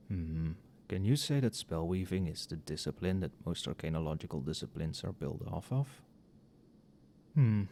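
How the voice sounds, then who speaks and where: Guy playing a tired wry magic professor, soft low-ish muttering, asmr roleplay, bit of noise behind him. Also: creaky. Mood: muttering, critical. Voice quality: soft-spoken. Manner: casual, muttering, dryly sardonic. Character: wry, overworked male magic professor grading papers late at night.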